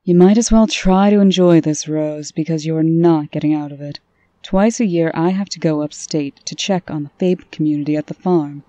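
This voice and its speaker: A woman's voice: low voice, moderate pace, mellow, no echo, highs cut off, natural voice. A woman playing a strict, commanding, no-nonsense official and elder sister in a comic dub. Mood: stern.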